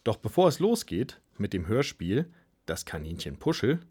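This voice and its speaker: A man presents a radio show in a husky voice. His pitch moves widely as he introduces things, giving the delivery a lively swing. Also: moderate pace.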